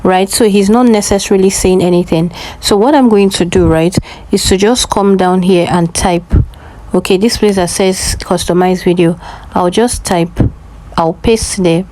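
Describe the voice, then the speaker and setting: A woman's voice: medium pitch, steady tone, normal speed, darkish tone, low-quality recording. A woman hosting a tutorial, explaining step by step and often checking in with short questions. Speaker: tutorial host.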